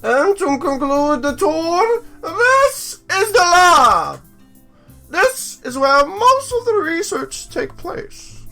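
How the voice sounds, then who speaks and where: Visual novel guide played by a man: high-pitched, polite businesswoman, slow and instructive, lilting. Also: big pitch swings, vocal fry, pushed and bright, soft background music, full character voice. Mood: helpful, formal. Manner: slow, drawn-out, instructive delivery. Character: polite, businesslike young female archaeology staff guide.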